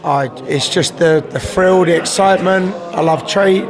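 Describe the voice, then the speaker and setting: A man from London with a high voice answers eagerly but stumbles over his words. A busy crowd is loud in the background. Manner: enthusiastic, halting. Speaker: interviewee.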